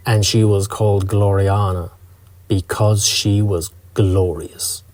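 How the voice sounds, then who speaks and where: A man reading a scripted first-person story in a measured, literary manner. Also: fairly low pitch, little pitch movement, a little mellow, no echo. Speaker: narrator.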